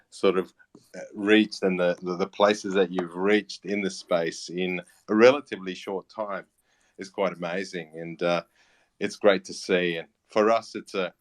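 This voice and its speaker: An Australian man in his late thirties giving a casual interview, halting and matey as he explains his work. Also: sits low. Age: late 30s. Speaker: interview guest, music platform founder and teacher.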